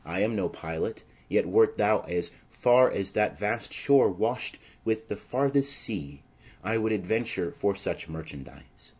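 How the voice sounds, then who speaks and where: A man voicing an ardent, bold, lovestruck young nobleman in earnest, measured Shakespearean verse. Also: fairly low pitch, expressive, a little mellow, slightly rough.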